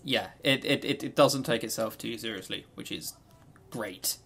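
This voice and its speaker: A man with a gravelly edge to a medium-pitched voice, co-hosting an informal podcast. He rambles through his opinions in a loose, joking way and swears freely. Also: moderate pace, fairly bright tone. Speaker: podcast co-host. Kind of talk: casual unscripted visual novel review chat.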